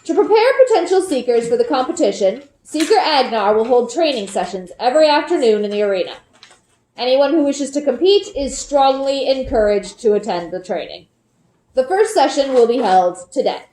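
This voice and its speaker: A woman plays a grave male village Seeker from a fantasy storybook. He speaks slowly and deliberately, with formal authority. The room rings with echo around each announcement. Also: high-pitched, expressive, warm tone, a little hiss or hum. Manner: slow, solemn, deliberate.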